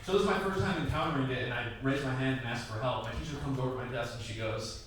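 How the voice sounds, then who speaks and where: Young man, stand-up comic, bright forceful voice, distant mic in a reverberant tavern room. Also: mid-range voice, very fast, a little hiss or hum. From Iraqi family, raised in the Midwest. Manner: loose, conversational storytelling with many "like"s and acted quotes. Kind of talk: stand-up set. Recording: echoey bar room recorded from afar.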